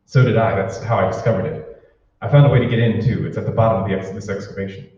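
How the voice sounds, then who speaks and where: Man acting a furtive low-voiced conspirator, distant and echoey. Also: steady tone, quick pace, darkish tone, lightly acted. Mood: insistent. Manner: hesitant, stammering, secretive. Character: furtive, anxious man confiding a secret conspiracy. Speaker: amateur stage actor. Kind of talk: old-time radio drama acting. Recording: roomy, distant, dull hall recording with hiss.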